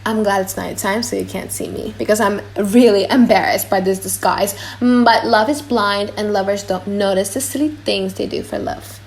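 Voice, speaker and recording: A woman's voice: medium pitch, moderate pace, few pauses, slight background noise, close to an everyday voice. A woman playing a bashful young woman in love in a dramatised audiobook. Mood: embarrassed. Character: shy young woman in love, disguised as boy.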